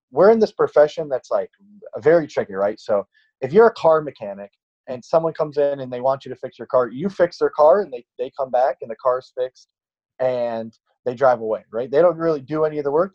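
A man's voice: mid-range voice, darkish tone. Late-20s male trainer, casual rambling podcast talk, muffled lo-fi sound. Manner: rambling, many fillers and restarts. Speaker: fitness trainer.

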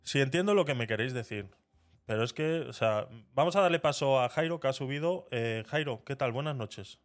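Un hombre de España con acento castellano que presenta un podcast en directo y habla de forma conversacional, con dudas y muletillas. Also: male voice, medium pitch, expressive, a little fast, faint music behind. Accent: Castilian Spanish. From Spain. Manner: conversational, hesitant, fillers. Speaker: podcast host. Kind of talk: live podcast chat.

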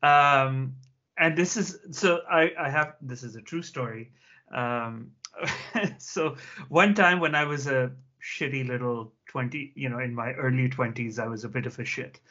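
A man speaking at a middle pitch, spinning a wry, self-mocking story as a panelist. His voice comes through muffled video-call audio. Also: measured pace, bright, forceful tone. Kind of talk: casual anecdote on a video call.

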